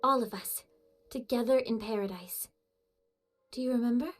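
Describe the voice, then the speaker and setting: A woman's voice: on the high side, normal speed, soft background music, lightly acted. A woman voicing a composed, self-assured young squad leader in a video game, cool and probing. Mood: confident.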